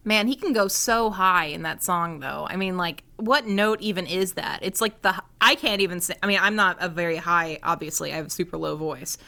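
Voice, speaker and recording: Bright-voiced woman podcast co-host. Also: lowish voice, fairly quick, gravelly, few pauses. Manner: laughs often, chatty and self-deprecating. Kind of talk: casual chat.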